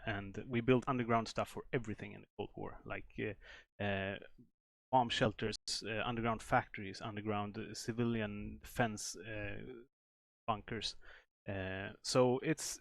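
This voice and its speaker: A man from Sweden, a game developer explaining at length in a stream interview, with many pauses and restarts. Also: on the low side, steady tone, gravelly, dry recording.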